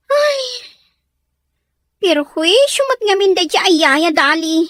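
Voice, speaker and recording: A woman's voice: very high voice, big pitch swings, slow pace, fairly bright tone, dry recording, clean studio sound, heavily acted. A woman with an Ilocano accent playing a sullen, defensive young student daughter in an audio drama. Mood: troubled.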